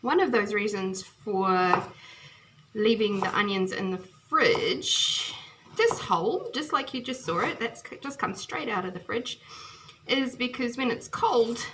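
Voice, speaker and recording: A woman's voice: a little high, lively intonation, measured pace, a bit bright. Chatty woman cooking in a sizzling kitchen. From New Zealand. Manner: cheerful and chatty, often laughing, talks while chopping and stirring. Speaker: home cooking video host. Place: home kitchen. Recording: noisy home kitchen with frying sizzle. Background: food sizzling and kitchen clicks.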